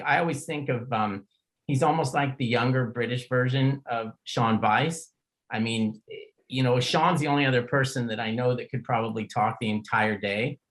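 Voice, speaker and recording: A man's voice: lowish voice, rough recording. A middle-aged man hosting a casual conversational interview in an informal, chatty, plain-spoken way. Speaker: podcast host.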